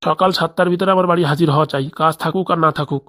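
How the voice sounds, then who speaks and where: A woman's voice: deep voice, steady tone, rapid-fire, fairly bright tone. A woman voicing a crooked, bossy male village boss in a comedy cartoon, scheming aloud with a rural Bengali accent.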